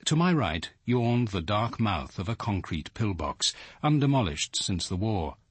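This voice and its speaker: A reserved English detective with a poet's reflective streak. He speaks in a measured, understated radio drama style, with dry wit. The voice is husky and varied in pitch. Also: very animated, no echo, exaggerated voice. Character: reserved, dryly witty male detective who writes poetry. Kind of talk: British radio drama acting and reflective narration.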